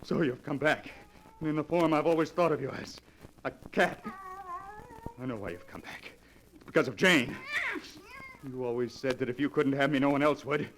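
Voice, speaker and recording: An actor playing a haunted, desperate fiance in a 1940s radio melodrama, with theatrical delivery. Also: male voice, mid-range voice, normal speed, pauses often, a bit bright, lightly acted. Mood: defiant. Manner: theatrical, brooding narration rising to frantic outbursts. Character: haunted, desperate fiance confessing to his doctor. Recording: noisy old 1940s radio recording.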